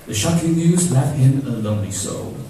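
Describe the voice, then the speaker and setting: A man's voice: medium pitch, big pitch swings, normal speed, mellow, slight background noise, lightly acted. A man playing a dramatic narrator of a heroic tale in a theatrical scripted style. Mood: solemn. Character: dramatic male storyteller of a heroic quest. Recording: echoey hall from far, music behind.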